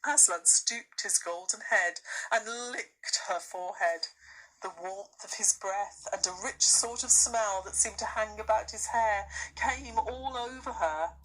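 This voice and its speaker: Female storybook reader, slow and expressive, bright tone. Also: mid-range voice, poor audio. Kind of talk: expressive scripted story narration.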